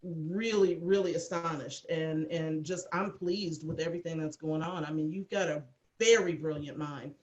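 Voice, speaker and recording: A woman chatting casually on a video call, telling anecdotes in an earnest, halting way. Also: low-pitched, steady tone, darkish tone, muffled recording. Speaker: audio editor and cast crew member. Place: indoor room on a video call. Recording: echoey room over video call.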